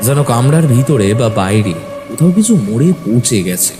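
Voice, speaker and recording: A man narrating a first-person horror story in a suspenseful, expressive, dramatic way. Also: medium pitch, normal speed, soft background music. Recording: faint background noise.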